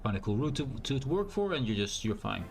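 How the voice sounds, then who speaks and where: A man with a naturally loud voice and a strained, sore throat, thinking aloud in a rambling way as he streams a game. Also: mid-range voice, sing-song, fast talker, vocal fry, soft background music. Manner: rambling, thinks aloud with fillers and self-corrections. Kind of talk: casual live-stream gameplay commentary.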